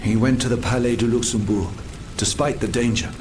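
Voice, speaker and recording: A man playing a troubled, earnest French gentleman scientist in a video game, formal and theatrical. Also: mid-range voice, steady tone, fairly quick, noisy background, close to an everyday voice. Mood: grave, earnest. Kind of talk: dramatic video game cutscene acting.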